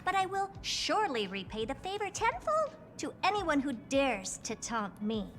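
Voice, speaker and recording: A woman's voice: high voice, huge pitch swings, moderate pace, fairly bright tone, soft background music, full character voice. An actress playing a vain, vindictive genius lady in a video game, boastful, imperious and theatrical. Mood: gloating, vindictive.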